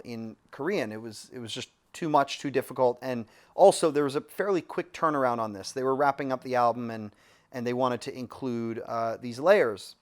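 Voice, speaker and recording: A man, a music producer, casually telling a studio story with lots of fillers and restarts. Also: on the high side. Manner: relaxed, rambling with many fillers and restarts.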